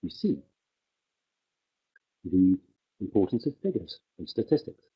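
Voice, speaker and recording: Low raspy guy interpreting a conference speech live, lots of uhs, sounds like it's coming over a phone line. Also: very animated. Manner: halting, many fillers and restarts while keeping pace with the speaker. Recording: tinny phone-like livestream audio.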